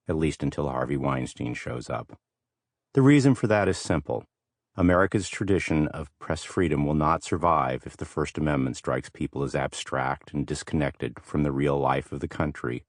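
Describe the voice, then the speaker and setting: A man reading scripted audiobook narration in a measured, articulate way, close to a studio microphone. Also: very deep voice, dry recording.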